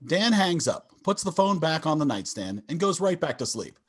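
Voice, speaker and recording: A man's voice: on the high side, very animated, moderate pace, a little hiss or hum. A man reading scripted stage directions as narrator of a table read over a video call.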